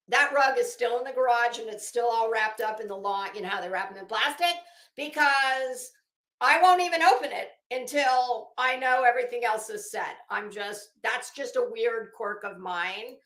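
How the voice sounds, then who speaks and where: Bright-voiced, slow-paced woman livestreamer. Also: on the high side. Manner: blunt, sarcastic, salty-tongued, emphatic. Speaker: livestream host. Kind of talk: casual livestream chat and commentary, greeting viewers. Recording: roomy, echoing home recording.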